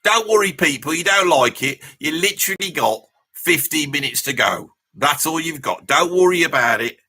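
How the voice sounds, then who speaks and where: A man's voice: fairly high pitch, animated, moderate pace, pushed and bright, breathy edge, heavily acted. A man voicing an opinionated Birmingham bloke of about seventy, chatting bluntly and matily as he hosts a livestream. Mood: defensive. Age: 70s. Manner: blunt, matey, ranting, peppered with mild swearing and tag questions. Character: brash, opinionated seventy-year-old Birmingham bloke, self-styled ladies' man. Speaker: livestream host. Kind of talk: casual livestream chat and commentary, answering viewer comments.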